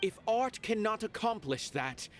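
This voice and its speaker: An aloof, philosophical aesthete in a fantasy game. His delivery is grand and deliberate, with wide melodic swings in pitch over soft background music. He sounds eloquent and a touch pompous. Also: animated. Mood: grand, resolute. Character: eloquent aloof art critic, theatrical and philosophical. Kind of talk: dramatic game monologue.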